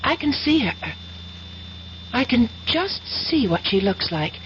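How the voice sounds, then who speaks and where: A woman playing a brisk, self-assured young engineering student in a vintage radio mystery. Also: fairly high pitch, sing-song, fairly bright tone, full character voice. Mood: excited, inventive. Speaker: radio drama actor. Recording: muffled, hissy old radio recording.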